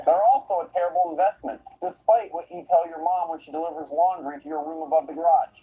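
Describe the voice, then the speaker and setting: A man hosting a comedy show, narrating clips and interviewing a guest with deadpan, mocking sarcasm. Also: on the high side, fast talker, husky, dull audio.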